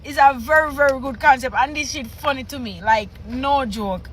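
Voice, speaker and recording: A woman's voice: sits high, moderate pace, fairly bright tone, slight background noise. A Jamaican woman reacting to a text and reading it aloud, incredulous and laughing, repeating herself for emphasis. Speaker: reaction video host.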